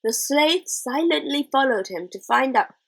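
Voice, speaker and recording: A woman's voice: sits high, lively intonation, measured pace, vocal fry, fairly bright tone, poor audio. Woman narrating a children's storybook. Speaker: audiobook reader.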